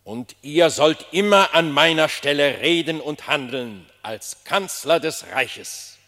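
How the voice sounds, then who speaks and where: A man's voice: fairly high pitch, takes it slowly, bright, forceful tone. An actor playing a solemn, gracious animal king, speaking stately, formal verse in a classic German radio play. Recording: long hall-like reverb on voice.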